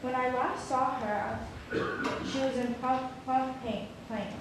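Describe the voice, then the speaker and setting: In a very echoey hall with clear noise, a woman plays a freed daughter looking for her mother. She reads the notice haltingly from a distance, her voice fairly high. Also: steady tone. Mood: plain, earnest. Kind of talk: dramatic reading of a historical ad.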